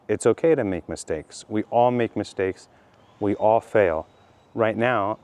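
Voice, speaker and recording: A middle-aged man from California with a Californian accent, teaching slowly and deliberately for language learners, repeating his key points. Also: on the low side, a little mellow. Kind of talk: slow explanatory monologue for learners.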